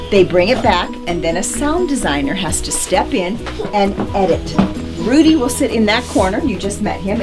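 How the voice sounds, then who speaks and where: A woman speaks as a studio tour guide, with clear music behind her. She explains things in a theatrical storytelling way, at a middle pitch. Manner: theatrical storytelling with vocal demonstrations.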